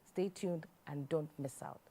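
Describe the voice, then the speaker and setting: A woman's voice: low voice, a little slow, dark tone. A woman hosting a studio talk show, closing the episode and addressing viewers directly.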